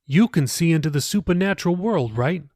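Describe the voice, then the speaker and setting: A man acts out an earnest male pastor in an audiobook story. He speaks in a raised pitch with casual, insistent persuasion, and the sound is close and dry. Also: expressive, a little fast, darkish tone, no echo. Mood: probing. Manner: colloquial, insistent, questioning. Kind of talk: audiobook character dialogue.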